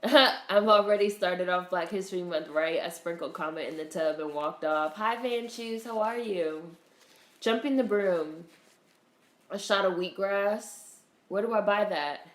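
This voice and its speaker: Congested woman, casual livestream chat, relaxed and chatty, echoey room. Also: fairly low pitch, a little slow. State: congested with a cold. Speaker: livestream host.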